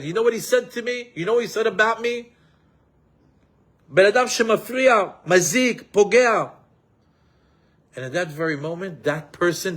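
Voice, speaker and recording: A man teaches a Torah class in an animated, emphatic way. His voice sits somewhat high for a man. He quotes Hebrew texts and then explains them in English. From Israel, raised in New York.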